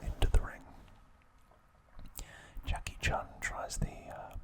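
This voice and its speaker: Male ASMR host, fully whispered and breathy, very slow delivery, soft and deliberate trivia narration. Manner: soft, unhurried, deliberate phrasing.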